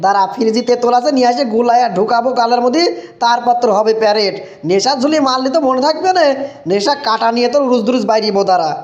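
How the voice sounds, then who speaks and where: A man's voice: very high voice, fast talker, darkish tone. A man voicing a stern village father in a comic cartoon, scolding with menace and sarcasm in a Bogura accent. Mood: angry, threatening. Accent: Bogura, northern Bangladesh. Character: stern, threatening village father scolding his drunken son. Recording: echoey, dull lo-fi sound.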